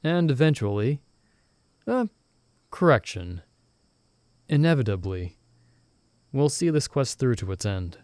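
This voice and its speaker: A soft-spoken young companion from an audio roleplay, played by a man, steady and quietly teasing as he reassures a stranded friend. His voice sits at an ordinary middle pitch for a man. The sound is close and dry, with no room around it. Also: unhurried, long pauses, no room sound. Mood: gentle, reassuring.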